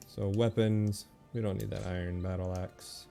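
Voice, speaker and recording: A man giving casual video game commentary, thinking aloud in a relaxed, muttering way as he makes decisions. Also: on the low side, moderate pace, creaky, faint music behind.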